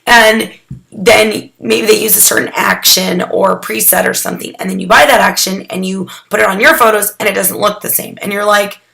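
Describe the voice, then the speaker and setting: A hoarse young woman getting over a cold, talking casually through a photography critique, rambling with lots of "um"s and restarts. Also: mid-range voice, steady tone, normal speed, sharp tone. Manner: chatty and rambling, with many "um"s and restarts. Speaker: photography mentor critiquing student work. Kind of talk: casual recorded critique addressed to students.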